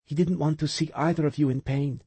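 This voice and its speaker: A man's voice: on the high side, fairly even intonation, a little fast, mellow, slightly rough, no room sound, voice pushed up. A man narrating an audiobook, voicing a determined young woman who speaks steadily and earnestly.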